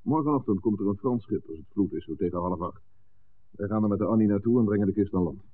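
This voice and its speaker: A steady fisherman father acted by a man in a vintage radio play. He speaks low, plainly and reassuringly, with gruff practicality. The sound is muffled and narrow like a 1960s broadcast. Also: fast talker, natural voice. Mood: businesslike. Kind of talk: 1960s Dutch radio drama acting.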